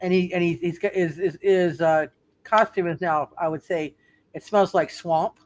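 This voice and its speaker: Higher-voiced halting male game master. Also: fairly even intonation. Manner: halting, repeats words. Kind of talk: casual live tabletop roleplay talk.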